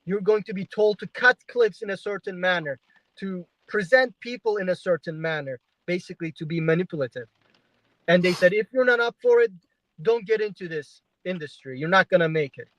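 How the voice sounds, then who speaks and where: A man's voice: high-pitched, a little fast, a bit bright, no echo. Young man from Turkey, dull dry sound. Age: 20s. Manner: conversational storytelling with frequent fillers. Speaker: guest journalist. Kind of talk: livestream interview. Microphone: video call.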